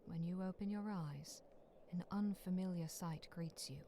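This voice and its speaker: A woman reading scripted dramatic story narration in a measured, solemn, sometimes hushed way. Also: fairly low pitch, slight background noise. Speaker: narrator of a visual novel.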